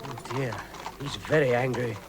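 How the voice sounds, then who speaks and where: An actor playing a timid, polite little hobbit with a hesitant, stammering manner in a 1960s radio drama. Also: male voice, mid-range voice, normal speed, very noisy, natural voice. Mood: anxious. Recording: vintage radio drama, loud music.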